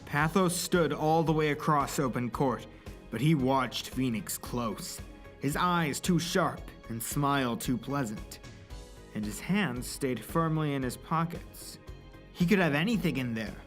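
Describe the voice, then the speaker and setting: Mid-pitched dramatic story narrator. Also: animated, unhurried, faint music behind.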